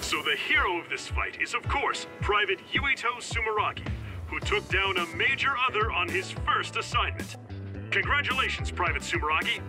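High-pitched, gushing announcer. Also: male voice, expressive, moderate pace. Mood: eager, probing. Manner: gushing, declamatory, showy. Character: excitable TV announcer and field reporter. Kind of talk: breathless sports-style news announcing. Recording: dramatic game music behind.

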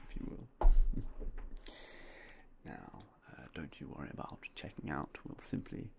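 Very deep, gravelly whispering man. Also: sing-song. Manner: soft, polite, unhurried shopkeeper talk with fillers. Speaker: ASMR roleplay host as game store clerk. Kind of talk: ASMR roleplay. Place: indoors in front of a game store backdrop. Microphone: binaural mic close to the face.

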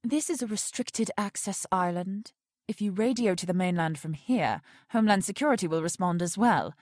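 A woman's voice: mid-range voice, expressive, normal speed, a little mellow, dry recording, clean studio sound, close to an everyday voice. A woman acting a cool, haughty animal expert with assertive, clipped delivery in an audio drama. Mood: firm.

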